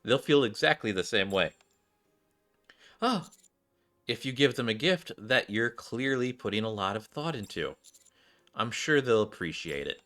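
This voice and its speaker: A man's voice: medium pitch, sing-song, unhurried, pauses often, fairly bright tone, soft background music. A man voicing a confident, encouraging woman mentor from a game, reassuring and direct.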